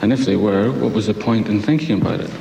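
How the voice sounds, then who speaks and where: Gravelly man in an echoing hall. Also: on the low side, warm tone, slight background noise. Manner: reflective, deliberate. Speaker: released hostage.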